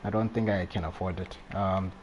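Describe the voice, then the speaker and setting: Male game streamer, young adult: soft dark tone, lowish pitch, casual slangy commentary, slight background noise. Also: steady tone, normal speed, highs cut off. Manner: slangy, reactive, chatty. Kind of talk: casual live gameplay commentary.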